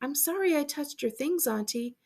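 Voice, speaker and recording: A woman plays a young storybook niece, polite and wide-eyed with curiosity. The voice is fairly high with some creak, and the manner is earnest and a little tentative. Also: animated, normal speed, a little mellow. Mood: apologetic.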